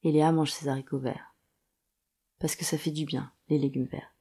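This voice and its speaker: Woman doing noir audio drama narration, creaky and mellow, dry detached storyteller. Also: sits low, little pitch movement, normal speed.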